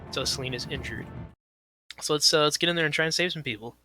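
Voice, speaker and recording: Hoarse young man streaming a game. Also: a little high, quick pace, rough recording. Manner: chatty, apologetic. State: hoarse, losing his voice. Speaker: gaming streamer. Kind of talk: casual let's play commentary. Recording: game music clearly behind. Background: game music.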